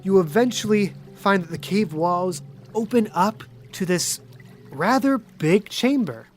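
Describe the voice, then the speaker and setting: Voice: mid-range voice, animated, a little slow, faint music behind. A game master narrating a tabletop role-playing game with descriptive, folksy phrasing.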